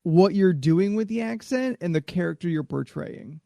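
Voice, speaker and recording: A man's voice: high voice, expressive, normal speed, creaky, dark tone, dry recording. A man co-hosting a podcast, talking in a rambling way with lots of fillers. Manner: rambling, hesitant, filler-heavy. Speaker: podcast co-host. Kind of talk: casual chat on a live stream.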